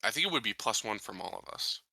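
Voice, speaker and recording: A man's voice: on the low side, expressive, fast talker, gravelly, sharp tone. A man chatting casually as a player in a tabletop game, working through a plan.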